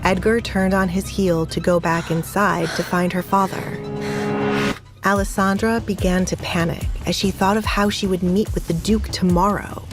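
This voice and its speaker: A woman's voice: lowish voice, fairly even intonation, moderate pace. A woman reading scripted narration for an audio drama, with music playing behind her voice. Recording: music under the voice.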